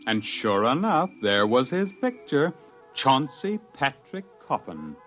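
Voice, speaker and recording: A hot-tempered, sardonic radio-drama scientist. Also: male voice, medium pitch, a bit bright. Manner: emphatic, sardonic. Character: indignant male research scientist narrating his own story, wry and hot-tempered. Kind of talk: 1950s radio drama acting. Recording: vintage radio recording, faint music.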